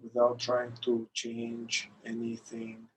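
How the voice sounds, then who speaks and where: A man leading a guided meditation in a calm, gentle manner, pausing between short phrases. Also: mid-range voice, slight background noise, low-quality recording. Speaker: meditation guide, somatic therapist.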